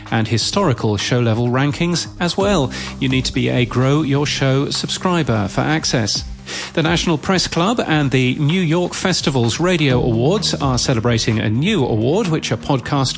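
Male podcast news host, mid-pitched, scripted bulletin read over clear music bed.

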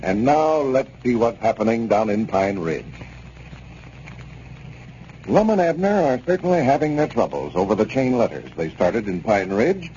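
Mellow, very animated male radio announcer. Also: medium pitch, normal speed. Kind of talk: 1930s radio announcing, scripted. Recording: noisy, dull 1930s radio recording.

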